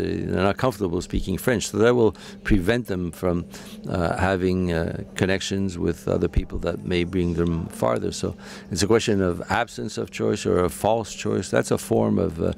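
A man interprets a panel discussion live in a deep, gravelly voice. He speaks haltingly, with frequent fillers, and corrects himself as he catches up with the speakers. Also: a little mellow. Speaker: simultaneous interpreter.

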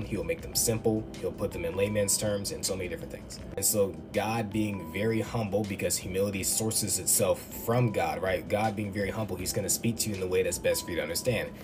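A man teaching about faith in a quick, enthusiastic, conversational way, filmed indoors on a phone held close. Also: low voice. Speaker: Bible teacher. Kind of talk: casual devotional teaching. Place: indoor room. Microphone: phone camera up close. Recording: background music under voice.

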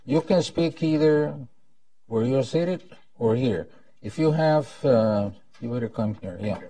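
A man's voice: medium pitch, unhurried, dark tone, no echo, recorded from a distance, poor audio. A man in his seventies with a Costa Rican Spanish accent, giving a formal, solemn welcome speech as event host. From Costa Rica. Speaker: event host, former ambassador. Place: indoor conference room at a lectern. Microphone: lapel mic.

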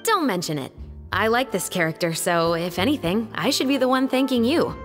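A woman's voice: fairly high pitch, lively intonation, a little fast, in a large hall, soft background music, natural voice. An actress voicing a cool, dignified young female musketeer in a video game, restrained and direct. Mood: cool, obliging.

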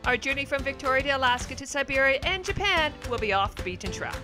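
A woman's voice: high voice, big pitch swings, fast, music in the background. Upbeat woman narrating a channel intro. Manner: upbeat, promotional. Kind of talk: scripted narration.

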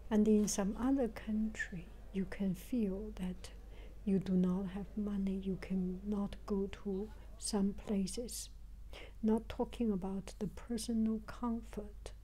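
An older woman giving a reflective travel vlog narration, haltingly, repeating phrases as she searches for words, in a breathy, whispery voice. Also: mid-range voice, little pitch movement, mellow, slight background noise, low-quality recording. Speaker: travel vlogger.

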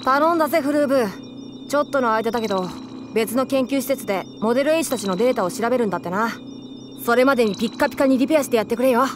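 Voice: mid-range voice, fast talker, noisy background, lightly acted. An actor playing a big-brotherly teenage boy hero in a Japanese audio drama, casual and friendly. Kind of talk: Japanese anime-style audio drama acting.

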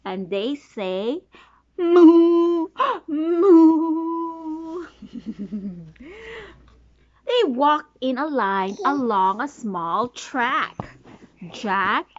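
Mom reading a kids book out loud, high singsong voice, asks the kid questions, kinda muffled homemade recording. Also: female voice. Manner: sing-song, prompting the child with questions and counting. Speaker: mother reading to her toddler. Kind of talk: reading a picture book aloud to a small child. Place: small bedroom, sitting on a bed. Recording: dull lo-fi home recording.

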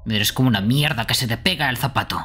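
A man's voice: medium pitch, quick pace, sharp tone, soft background music, close to an everyday voice. A man voicing an irritable, foul-mouthed young male killer in an amateur game dub, aggressive and impatient. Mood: angry, exasperated. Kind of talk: amateur video game dubbing.

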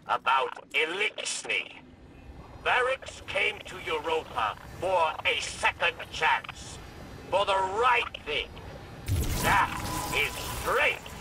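A man voicing a weary, earnest alien rebel in a video game, with a gravelly voice and halting, deliberate delivery. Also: on the high side, far from the mic, noisy background, exaggerated voice. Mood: indignant, impassioned. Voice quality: gravelly, croaking. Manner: halting, deliberate, refers to himself by name. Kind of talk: video game character acting.

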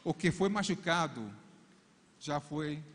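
A man's voice: on the high side, slow pace. Man with non-native Portuguese, preaching in a hall. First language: English. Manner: fervent prayer repeating "Father" between phrases. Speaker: couple's coach. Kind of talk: preaching and prayer at a church conference. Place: large arena stage with a crowd. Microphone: handheld mic. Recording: long reverb of a large hall.

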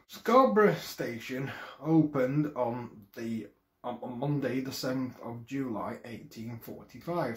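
Man reading a history script aloud, echoey room. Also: mid-range voice. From England. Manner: careful, halting reading with occasional restarts. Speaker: video presenter. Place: small room at home, indoors.

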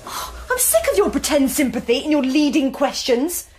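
A woman's voice: high voice, lively intonation, moderate pace, darkish tone, slight background noise. An actress playing a bitter, scornful young woman student in a radio drama, hostile and cutting.

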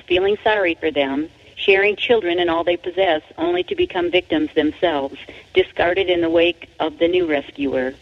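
Lady author reading out their book, creaky voice, emphatic, kinda muffled lo-fi recording. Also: fairly low pitch. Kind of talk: scripted audiobook narration. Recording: dull, lo-fi audio.

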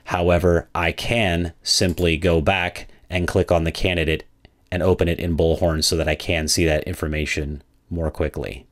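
A man narrating a product walkthrough as a software demo presenter, in a methodical, explanatory way. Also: deep voice, flat delivery, normal speed.

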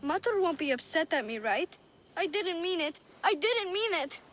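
A woman playing a naive, lonely little orphan girl in a video game, with an earnest, halting, childlike way of speaking. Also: very high-pitched, big pitch swings, a bit bright, a little hiss or hum, full character voice. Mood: sad, earnest. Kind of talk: video game cutscene dialogue.

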